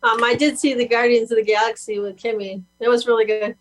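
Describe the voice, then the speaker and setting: A woman on a show panel, chatting casually with brief, low-key answers. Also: little pitch movement, fast talker, rough recording. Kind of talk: casual chat.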